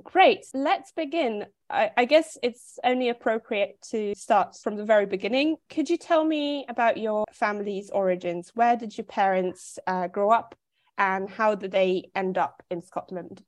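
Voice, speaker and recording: A woman conducts an oral history interview. She asks her questions politely and deliberately. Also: mid-range voice.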